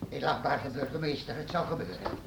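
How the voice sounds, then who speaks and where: An actor playing a meek, stammering town messenger in a radio drama. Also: male voice, mid-range voice, a little fast, creaky, heavy background noise, natural voice. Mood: timid. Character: timid stammering male messenger. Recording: roomy old radio play recording.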